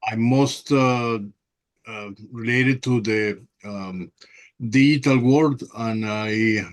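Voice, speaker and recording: A man with a Venezuelan Spanish accent, Spanish his first language, speaking haltingly with many fillers in an online meeting. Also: mid-range voice. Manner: hesitant, many fillers, slow. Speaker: meeting participant, ham radio operator.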